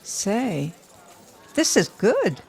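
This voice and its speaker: A woman acting in an audio drama as a guarded, wealthy businesswoman with a measured, dryly teasing delivery. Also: mid-range voice, huge pitch swings, vocal fry, a little mellow, breathy edge, full character voice. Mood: sincere, businesslike. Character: dry, guarded, wealthy woman steel mill owner. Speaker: actor.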